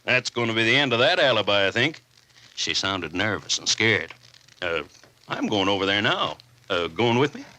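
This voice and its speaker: A blustery, gullible small-town sheriff in a 1940s radio mystery. His voice is bright and forceful, and his pitch swings eagerly with an emphatic, folksy delivery. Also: sing-song, normal speed, heavily acted. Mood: concerned, grumbling. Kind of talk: 1940s radio detective drama acting.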